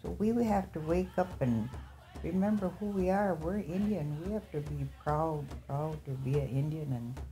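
A woman's voice: deep voice, moderate pace, warm tone. A woman speaking with passion and insistence in an interview. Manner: impassioned, insistent.

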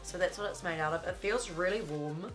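A woman's voice: lowish voice, brisk, a bit bright, soft background music. A young woman, blocked up from a cold, gushing casually through a clothing haul in a small bedroom studio. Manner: chatty, gushing, lots of "like". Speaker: fashion vlogger.

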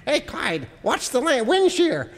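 A man's voice: very high voice, wildly swooping pitch, measured pace, big-room sound, background noise, heavily acted. A man voicing a bumbling cartoonish buzzard in a comic radio play. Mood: alarmed.

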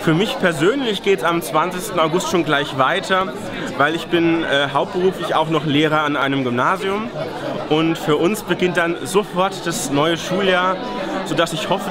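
A man in his thirties, a choir director, chatting enthusiastically in a church hall, filmed up close. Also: fairly high pitch. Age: 30s. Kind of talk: casual interview. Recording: crowd chatter behind. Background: chattering crowd.

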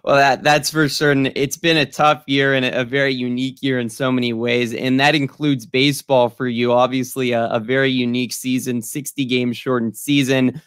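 A man's voice: mid-range voice, flat delivery, normal speed, fairly bright tone, clean tone, dry recording, studio quality. A young American man hosting an interview, asking questions in a conversational way over a video call. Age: young adult. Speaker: podcast interviewer, host. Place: small room at home, indoors.

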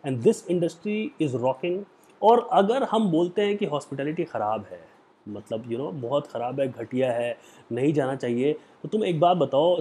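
A young man with an Indian accent giving an earnest motivational talk to the camera on a lapel mic in a small room, lecturing and slowing down for English phrases. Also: fairly high pitch, dark tone, husky. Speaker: hospitality career vlogger. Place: small indoor room, plain wall.

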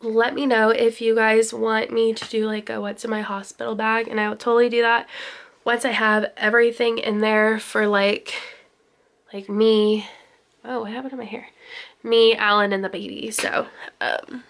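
A woman's voice: a little high, level tone, normal speed, gravelly, fairly bright tone. Chatty young woman with a nasal cold. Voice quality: slightly nasal. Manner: chatty, rambling with ums and likes. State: sick, nasal. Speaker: vlogger. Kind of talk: casual chat to camera. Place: parked car, then walk-in closet. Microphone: handheld camera close up.

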